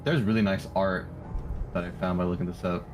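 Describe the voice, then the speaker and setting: A man's voice: low-pitched, steady tone, a little fast. A man chatting casually on a livestream, rambling and giggly as he works on his art. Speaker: streamer drawing art live. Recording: music playing behind the voice. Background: music.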